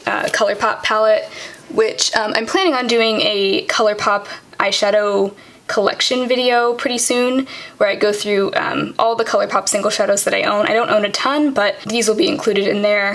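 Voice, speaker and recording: A woman's voice: medium pitch, fairly bright tone. Tired-voiced young woman chatting makeup. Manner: chatty, many ums and likes. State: tired voice that keeps cracking. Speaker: beauty vlogger. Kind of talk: casual product walkthrough. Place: home vanity table, indoors.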